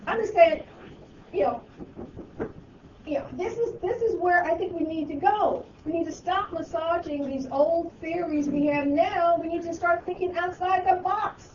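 High-voiced woman in her sixties, halting. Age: 60s. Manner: halting, with many restarts and fillers. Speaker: discussion participant. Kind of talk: group discussion. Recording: echoey distant dull mic with noise.

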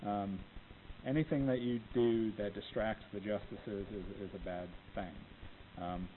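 Man, low and plain podium lecturer, filler-heavy, tinny phone-like audio with faint hiss. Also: steady tone. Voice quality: low voice, not dynamic. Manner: unhurried, many ums, plainspoken. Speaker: lecturer on appellate oral argument. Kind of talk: informal talk to law students. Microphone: podium microphone.

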